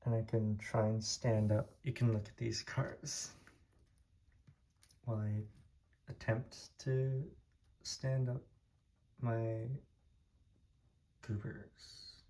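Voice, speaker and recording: Low-voiced young man rambling through a room tour. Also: level tone, extremely slow, pauses often, mellow. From Taiwan. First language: Taiwanese Hokkien. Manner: rambling, filler-heavy, laid-back. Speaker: diecast collector showing his room. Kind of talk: casual room tour vlog.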